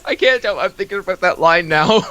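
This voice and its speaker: A man's voice: high-pitched, lively intonation, a little fast, sharp tone. A man from Canada co-hosting a comic read-along, chatting casually in a giggly, profane, joking way.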